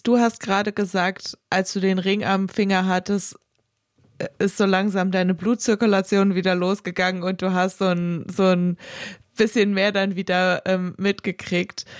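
A woman asking an interview guest questions in a relaxed, conversational way. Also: mid-range voice, dry recording, studio quality.

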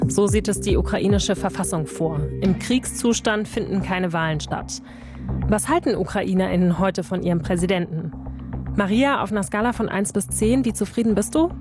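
A woman hosting a news podcast, narrating and interviewing in a brisk, clear presenter style. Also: medium pitch, music in the background. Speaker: podcast host.

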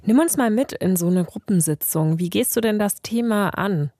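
A woman radio host narrating a scripted news podcast and asking questions in a clear, composed manner. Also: mid-range voice, moderate pace, dark tone, dry recording, studio quality. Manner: clear, composed presenter delivery. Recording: music bed behind.

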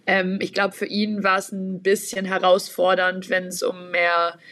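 A woman's voice: fairly low pitch, monotone, unhurried, fairly bright tone, dry recording. A woman talking as an interview guest in a chatty, personal way. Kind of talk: casual interview talk.

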